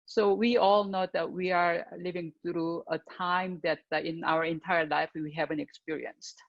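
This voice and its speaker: A woman's voice: fairly low pitch, steady tone, normal speed, gravelly, no room sound. An older woman, a dean moderating a faculty panel on a video call, introducing speakers in brief, hesitant remarks. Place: home living room with pictures. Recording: dull, dry webcam audio.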